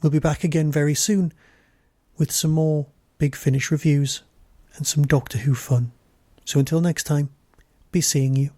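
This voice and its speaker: A man hosts a review podcast with prepared commentary. His voice is a little high yet mellow, recorded close and dry. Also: steady tone, normal speed, pauses often, dry recording. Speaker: podcast host.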